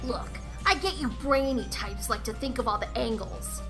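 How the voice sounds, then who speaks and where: A woman's voice: on the high side, normal speed, music in the background, close to an everyday voice. A woman voicing a hot-headed young female tenrec fighter in a comic dub, cocky, snappy and sarcastic. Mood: aggressive, taunting.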